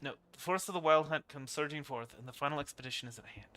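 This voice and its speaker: A man's voice: a little high, quick pace, pushed and bright, soft background music. A man doing casual live stream commentary on a video game, rambling and repeating his phrases, close on the mic.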